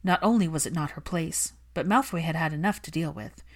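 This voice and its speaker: A woman's voice: low voice, brisk. A woman reading fiction aloud as an audiobook narrator, in a dramatic scripted style.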